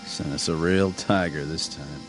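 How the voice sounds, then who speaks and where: An actor playing a dry, insolent American army private in a radio drama. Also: male voice, very deep voice, fairly even intonation, unhurried, music in the background. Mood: dry sarcasm. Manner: dry, insubordinate.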